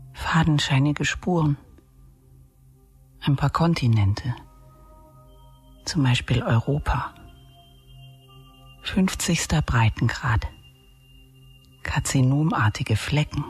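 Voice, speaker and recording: An actor playing a dreamy, wistful narrator, musing in fragments with a breathy, husky voice in a radio drama. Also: male voice, fairly high pitch, exaggerated voice. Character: dreamy, wistful narrator seeing things from afar. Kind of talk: poetic radio drama monologue.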